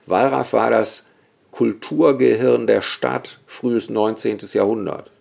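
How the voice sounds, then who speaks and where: A man with a gravelly, dark voice. He talks as a guest and historian in a relaxed, storytelling way, vivid and opinionated, with many small asides. His tone stays mellow. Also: medium pitch, takes it slowly. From Germany, Bergisch Gladbach area. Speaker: guest, historian and cemetery expert.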